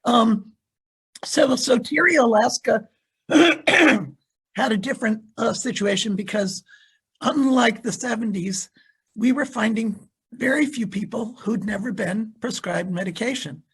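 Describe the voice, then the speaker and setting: A very husky, gravelly, hoarse voice on a video call, of a speaker who is losing their voice. They speak haltingly as moderator and keep clearing their throat. The pitch sits in the middle. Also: a bit bright. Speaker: panelist and moderator.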